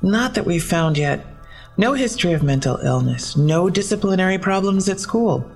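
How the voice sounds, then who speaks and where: A woman's voice: on the low side, animated, normal speed, a little mellow, faint music behind. A woman acting a businesslike police detective in an audio drama, speaking in a matter-of-fact, slightly awkward way. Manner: matter-of-fact, clipped case briefing.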